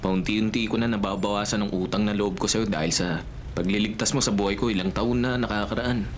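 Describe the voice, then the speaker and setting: Low-voiced reluctant male accomplice, played by an actor. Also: steady tone, fairly quick, slight background noise, natural voice. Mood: grudging. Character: reluctant male accomplice repaying an old debt. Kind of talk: Filipino radio drama acting.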